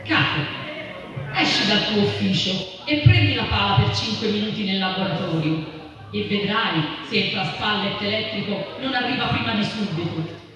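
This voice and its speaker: A woman's voice: mid-range voice, expressive, normal speed, noisy background. Woman reading aloud in a very echoey space. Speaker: reader in a workers' collective. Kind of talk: staged literary reading. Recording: dull, distant, very echoey, music behind. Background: music.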